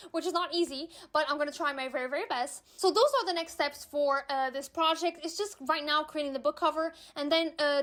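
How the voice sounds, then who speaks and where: A woman's voice: sits high, fairly bright tone. A young woman, a native Portuguese speaker, chatting candidly to camera about her writing, with frequent fillers and emphatic asides. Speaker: vlogger, aspiring author. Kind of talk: casual chat to camera.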